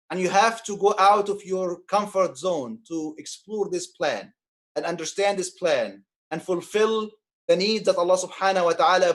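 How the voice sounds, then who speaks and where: Earnest Egyptian-accented man preaching. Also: sits high. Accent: Egyptian Arabic. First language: Arabic. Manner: earnest, preacherly, repeats phrases and restarts. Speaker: speaker on Islam and social justice. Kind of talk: impassioned religious talk.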